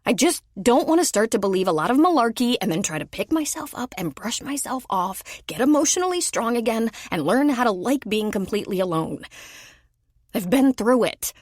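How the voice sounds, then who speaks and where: A woman's voice: fairly high pitch, very animated, fairly quick, no room sound, studio quality, pitched above the performer's own voice. A woman voicing Lola, a blunt, guarded single mother of forty who gets defensive when complimented. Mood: pleading.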